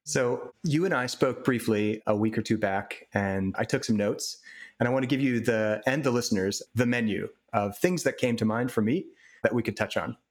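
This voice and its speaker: A man's voice: medium pitch, moderate pace. Eager male podcast host. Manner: eager, friendly questioning. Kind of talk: conversational interview.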